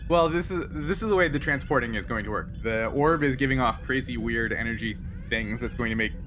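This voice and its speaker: A man's voice: mid-range voice, brisk, noisy background. A man speaking as game master, narrating and bantering through an unscripted tabletop game.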